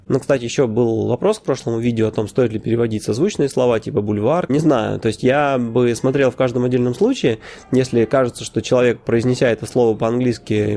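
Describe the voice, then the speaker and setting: A man hosting a casual podcast, his mellow, warm voice sitting at a middle pitch. He talks off the cuff, with filler sounds and quick self-corrections. He drops wry, opinionated asides along the way. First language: Russian. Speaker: podcast host.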